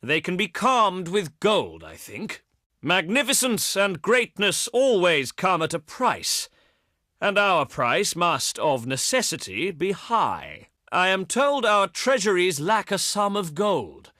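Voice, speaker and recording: An actor playing a haughty, pious and slyly threatening ancient Greek diplomat in a video game, speaking in pompous, oratorical phrases. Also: male voice, sits high, big pitch swings, slow pace, bright, forceful tone, dry recording, studio quality, heavily acted. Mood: sly, wheedling. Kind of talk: theatrical scripted game dialogue.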